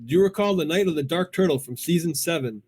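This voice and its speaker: A man's voice: on the high side, quick pace, darkish tone, husky. A middle-aged man hosting a cartoon countdown show, chatting casually and enthusiastically as a fan.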